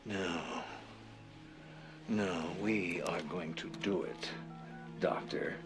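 A man's voice: low-pitched, fairly even intonation, extremely slow, low-quality recording. An actor playing a demanding older father, a tycoon, who gives insistent orders at a hospital. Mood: commanding. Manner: commanding, insistent. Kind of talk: TV drama acting. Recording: drama audio with music score.

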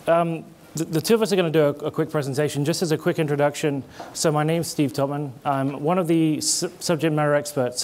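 A man's voice: on the high side, darkish tone. A middle-aged British man with an American-tinged British accent, giving a conversational conference presentation on stage. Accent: British with American twang. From Britain. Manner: conversational, gestures while explaining, restarts words. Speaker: conference speaker. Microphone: headset mic.

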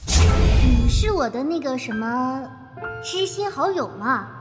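A woman's voice: high-pitched, expressive, slow. A woman acting a young fox-spirit maiden in a fantasy audio drama, earnest, plucky and chatty. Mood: earnest, fond. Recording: long reverb, music underneath.

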